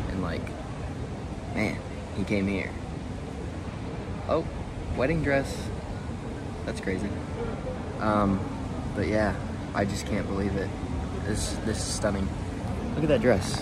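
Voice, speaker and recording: A young man films himself on a phone held at arm's length, chatting loosely and ranting with little laughs. Loud traffic and city noise wash in around his voice. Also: fairly low pitch. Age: 20s. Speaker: travel vlogger. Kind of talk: casual travel vlog to camera. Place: outdoors in a city, then a busy bar.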